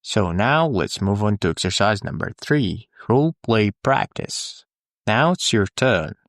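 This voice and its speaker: A man who is a native Arabic speaker, teaching English in a scripted, deliberate, instructional lesson style. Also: fairly low pitch, sing-song, no room sound, studio quality. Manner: deliberate, instructional, with pauses for the learner. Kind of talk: scripted language lesson, repeat-after-me drills.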